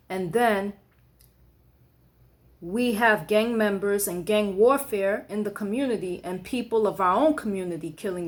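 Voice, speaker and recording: A woman in a quiet, reflective late-night talk, her voice sitting at an ordinary middle pitch. She speaks conversationally, pausing often to think, and puts more force behind it when she reads her own writing aloud. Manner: thoughtful, conversational, frequent "you know" and "um". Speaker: poet sharing her writing.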